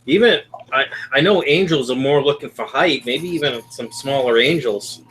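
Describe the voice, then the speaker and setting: Male stream host, bright tone, rambling, filler-heavy chat reader. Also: mid-range voice, normal speed, slight background noise, poor audio. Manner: rambling, reads comments aloud with fillers. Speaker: livestream host reading viewer chat. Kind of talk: casual live-stream chat. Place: home in Florida.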